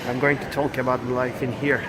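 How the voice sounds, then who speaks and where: A man's voice: mid-range voice, little pitch movement, noisy background. Twenties male vlogger, Turkish accent, hesitant casual English, recorded on a phone in a busy supermarket. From Turkey. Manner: halting, many fillers and self-corrections. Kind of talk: casual walk-through vlog. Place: large supermarket indoors. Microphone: handheld phone camera. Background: store ambience.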